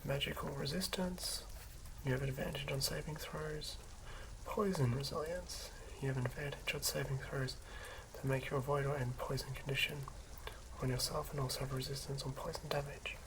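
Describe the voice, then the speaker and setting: A person whispering softly and haltingly in an unhurried ASMR style, as a host talking through and reading tabletop game material. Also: mid-range voice, steady tone. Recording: lo-fi whisper with clear background noise.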